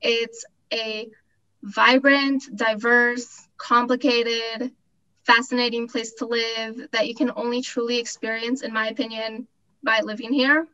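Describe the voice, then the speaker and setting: A woman's voice: on the high side, flat delivery, unhurried, bright, forceful tone, lo-fi sound. A woman in her twenties from Costa Rica, a graduate student giving an informal, enthusiastic talk at an information session. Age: 20s. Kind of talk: informal testimonial talk at an online info session.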